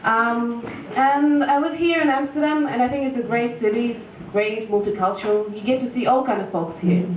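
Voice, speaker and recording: A woman, performing a deadpan stand-up set full of fillers and restarts, recorded live at a venue. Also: on the high side, moderate pace, few pauses, a little hiss or hum. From Russia. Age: 20s. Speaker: stand-up comedian. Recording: echoey lo-fi room recording from far off.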